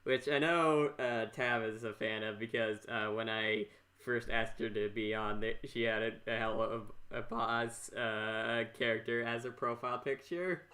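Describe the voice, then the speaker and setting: An American man hosts a casual interview podcast in a bright, sharp voice. He talks in a rambling, halting way, often doubling back and repeating his words. The tone is that of informal chat. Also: mid-range voice, fairly even intonation. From Minnesota, US. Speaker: podcast host.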